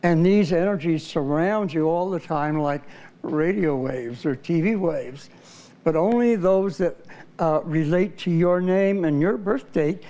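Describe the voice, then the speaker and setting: A man's voice: fairly high pitch, unhurried, mellow. A man being interviewed as a numerologist, earnestly explaining ideas step by step with some fillers. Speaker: numerologist guest.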